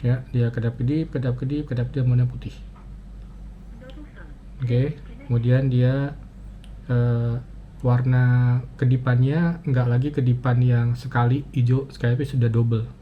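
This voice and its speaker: A man's voice: mid-range voice, flat delivery. Man, muffled noisy hands-on tutorial. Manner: halting, thinking aloud with frequent pauses. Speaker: motovlogger giving a gear tutorial. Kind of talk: casual step-by-step demonstration. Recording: muffled, dull audio with clear ambient noise.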